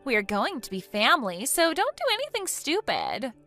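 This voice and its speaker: A woman's voice: high voice, huge pitch swings, normal speed, sharp tone, faint music behind, full character voice. A woman voicing a selfish, entitled young woman who reacts with whiny, indignant outbursts.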